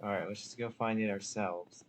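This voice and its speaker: Low-pitched man doing casual game commentary. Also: fairly even intonation, moderate pace, a little hiss or hum. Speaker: gaming video creator. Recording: faint game music behind.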